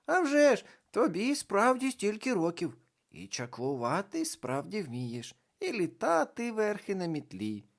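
The reader voicing a twelve-year-old girl dressed as a witch, loud and excitable. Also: medium pitch, a little slow, voice pushed up. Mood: teasing.

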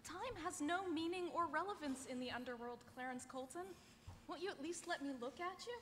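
Woman playing a wistful, bright-voiced underworld spirit. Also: high voice, a little fast. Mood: wistful, teasing. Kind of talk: live table read of a sci-fi comedy script. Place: large convention hall panel stage. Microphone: table microphone on stand. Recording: echoey, noisy convention hall.

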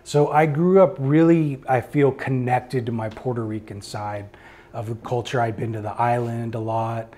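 A man speaks as an artist taking questions from a small gallery audience. His voice sits at a medium pitch. He is earnest and reflective, often pausing and restarting as he works out his answer. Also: fairly even intonation, mellow. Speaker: artist giving a gallery talk. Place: indoor art gallery before a small seated audience.

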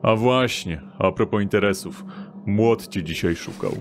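A man's voice: fairly low pitch, measured pace, slight background noise, lightly acted. An actor playing a stocky, sly thug of a doorman in an audio drama, sardonic and offhand. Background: background music.

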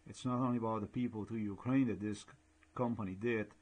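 A man from Slovenia with a Slovenian accent, speaking halting, repetitive English as a non-native speaker while commenting over a shared screen. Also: fairly low pitch, fairly even intonation, husky, muffled recording. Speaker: blogger.

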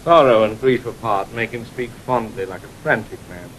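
A man's voice: normal speed, fairly bright tone, natural voice, rough recording. An actor playing a blunt, dutiful nobleman who reports formally in classical Shakespearean audio drama. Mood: formal, brisk. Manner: formal, declarative. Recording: old 1960 recording, hiss and rumble.

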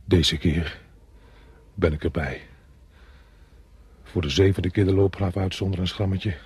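Casting: gloomy trench soldier, low and very husky, resigned delivery for a radio play. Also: male voice, fairly even intonation, a little slow, darkish tone, dry recording, exaggerated voice. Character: gloomy, fatalistic male soldier awaiting attack. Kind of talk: radio drama acting.

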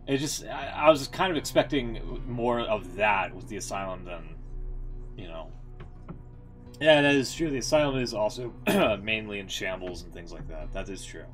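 Male streamer, fairly low voice, varied pitch, casual commentary, soft background music. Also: lively intonation, normal speed. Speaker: game streamer. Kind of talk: casual live reaction and commentary.

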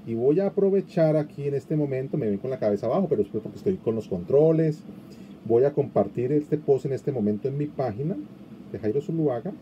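A man's voice: mid-range voice, lively intonation, a little fast, dark tone, muffled recording, slight background noise. A middle-aged man hosting a live online interview over a video call, chatting easily and reading out viewer comments. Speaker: interview host. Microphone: video call webcam.

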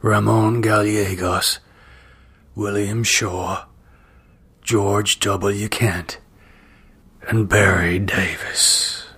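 Man voicing a grave, slow ghostly stranger. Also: fairly low pitch, steady tone, a bit bright, slightly rough, full character voice. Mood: grave, solemn. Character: eerie grave male stranger, a ghost of the old West.

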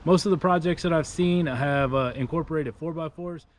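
A man's voice: a little high, normal speed. A man in his thirties hosting a casual DIY video outdoors in a backyard, talking loosely to viewers with lots of "uh" fillers. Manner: relaxed, many "uh" fillers, addresses viewers as guys. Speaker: DIY channel host. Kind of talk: casual DIY vlog talk. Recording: music under the voice.

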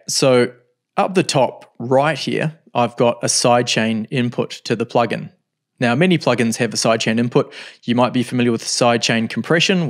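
A middle-aged man teaching a music software tutorial, explaining step by step in a relaxed way with thinking pauses, recorded at a desk in a home studio. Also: mid-range voice, vocal fry.

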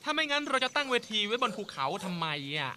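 A woman plays a loud, boastful cartoon showman, a proud animal father who hypes his act like an announcer. His voice is high and bright, leaping all over the scale. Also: big pitch swings, fast talker, slight background noise. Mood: showy. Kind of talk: Thai cartoon dub.

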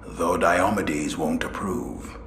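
An actor playing a solemn, knowing space marine lore keeper in a video game, portentous and deliberate. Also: male voice, sits low, fairly even intonation, a bit bright. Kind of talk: video game cutscene acting. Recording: echoey room with faint noise.

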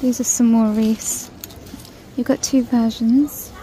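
A woman's voice: fairly high pitch, fairly even intonation, slow, mellow, a little hiss or hum, rough recording. Chatty woman browsing a shop. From United Kingdom. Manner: chatty, listing prices while browsing. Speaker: shopping vlogger. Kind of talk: casual shop-with-me narration. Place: large store aisle, indoors. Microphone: handheld phone camera.

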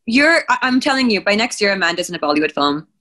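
A woman's voice: medium pitch, quick pace, background noise. Chatty woman from Sri Lanka on a video call. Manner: chatty, restarts often, animated. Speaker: podcast guest. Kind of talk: casual chat. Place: home room, indoors. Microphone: video call with headphones.

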